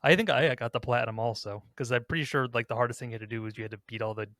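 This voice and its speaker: A man's voice: mid-range voice, racing, a bit bright, no room sound, clean studio sound. A man hosting a podcast, talking casually in a discussion. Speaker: podcast host.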